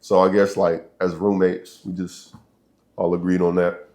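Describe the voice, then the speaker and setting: A young man with a very deep voice hosts a casual podcast from a college dorm. He talks in easygoing slang and breaks into laughter while telling stories. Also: flat delivery, a little slow, warm tone. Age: young adult. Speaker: podcast host. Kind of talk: casual chat.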